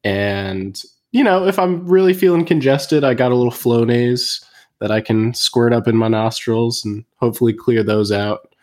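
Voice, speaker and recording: A man chatting casually and in a relaxed way about his own habits, sprinkling in "like" and "um.". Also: medium pitch, normal speed. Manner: relaxed and conversational, with "like" and "um". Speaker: podcast co-host. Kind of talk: casual chat.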